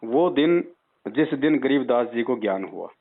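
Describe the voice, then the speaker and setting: A man's voice: medium pitch, animated, unhurried. A man on a phone call, giving religious advice in an insistent, admonishing way and pressing his points with rhetorical questions. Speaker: spiritual advisor taking a caller's doubts. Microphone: phone line. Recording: tinny, band-limited phone call recording.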